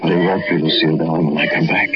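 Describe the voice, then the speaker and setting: A man's voice: very deep voice, little pitch movement, very fast. An actor playing a scheming, duplicitous man in theatrical 1940s radio style. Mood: sly, calculating. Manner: theatrical, switching between pleading and hushed inner narration. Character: scheming, duplicitous man feigning innocence and friendship. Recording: noisy, muffled 1940s radio broadcast.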